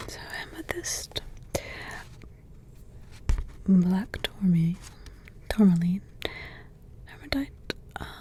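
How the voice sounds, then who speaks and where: A woman voicing an old forest witch, a grumbling yet doting mother and grandmother, in rambling, halting whispers full of fillers. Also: medium pitch, very animated, mellow, slight background noise. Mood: hushed musing. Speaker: ASMR roleplay creator. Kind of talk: ASMR roleplay whispering.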